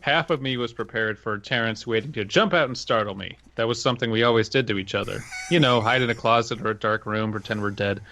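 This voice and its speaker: A man's voice: fairly low pitch, fast, hardly any pauses, bright, forceful tone. A man co-hosting a podcast, reading a story aloud in a casual style with banter mixed in. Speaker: podcast co-host.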